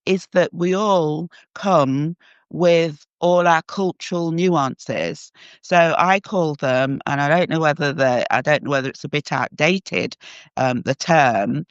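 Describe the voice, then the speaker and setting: A woman from Jamaica, a psychotherapist guest, answering in a measured, thoughtful way with pauses and hesitations. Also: low-pitched, dry recording, highs cut off. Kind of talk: reflective interview answer.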